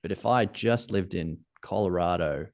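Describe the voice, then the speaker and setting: An Australian man with a notably low, deep voice. He talks in an easygoing, considered way, working through technical details in casual conversation. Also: normal speed. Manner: relaxed, thoughtful, technical about gear. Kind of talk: casual interview chat.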